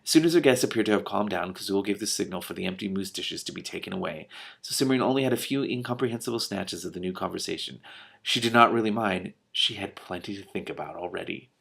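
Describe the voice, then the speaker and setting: A man hosting an expressive book read-aloud, breaking off for animated, incredulous, casual reactions. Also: low-pitched, fast, gravelly, breathy edge. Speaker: host reading a book aloud with commentary.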